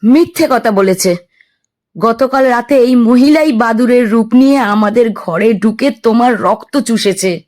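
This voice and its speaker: A frightened young heroine, played by a woman, speaking in a tense, hushed way. Her voice sits a little high. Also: measured pace, close to an everyday voice.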